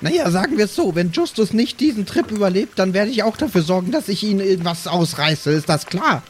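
A prim, protective lady acted by a man in a fantasy audio play. The voice is high and very lively in melody, formal and admonishing, with music faintly behind. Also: fairly quick.

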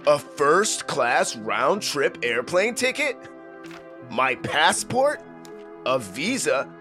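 A man's voice: on the high side, sharp tone, full character voice. An actor playing a gullible, self-satisfied man with a naive, earnest delivery in a comedy-horror radio play.

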